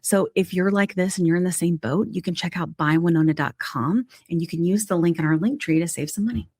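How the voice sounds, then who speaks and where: A woman's voice: on the low side, a little fast, darkish tone, breathy edge, no room sound, clean studio sound. A woman in her forties co-hosting a podcast, reading promos and chatting casually, laughing often. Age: 40s. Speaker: podcast co-host.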